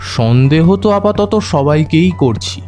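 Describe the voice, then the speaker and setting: Man acting a high-voiced, probing detective. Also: lively intonation, warm tone. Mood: cool, suspicious. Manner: measured, polite, insistent. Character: composed, probing male private detective questioning suspects. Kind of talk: audio drama acting. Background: background music.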